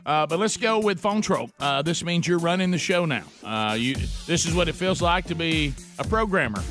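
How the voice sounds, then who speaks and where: A man hosting a radio show, steering the talk and setting up calls in an upbeat pitchman manner. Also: animated, moderate pace, over music. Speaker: radio host. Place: radio studio.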